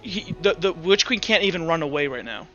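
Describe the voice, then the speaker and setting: A man running a tabletop roleplaying game, improvising his narration in a halting way and repeating words as he thinks aloud. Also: on the high side, expressive, bright, forceful tone, dull audio, faint music behind. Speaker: game master.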